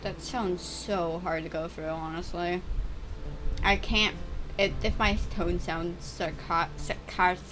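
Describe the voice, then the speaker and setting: A teenage girl chatting and stammering through gameplay commentary on her own channel, with a voice she calls a little deeper and rougher over time. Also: steady tone, takes it slowly, over music. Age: teens. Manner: chatty, stammering, restarts words. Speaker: gaming YouTuber.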